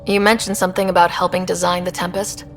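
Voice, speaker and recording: A woman's voice: on the low side, flat delivery, fast talker, faint music behind, close to an everyday voice. A woman playing a curious, friendly young space explorer who leads a crew in a video game. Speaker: expedition leader.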